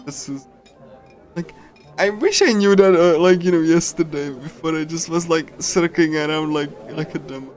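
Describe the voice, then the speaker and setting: Guy with a kinda high voice live streaming a game, rambles and repeats himself, soft guitar game music behind him. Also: moderate pace. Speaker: streamer playing a visual novel. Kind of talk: casual live commentary on a game.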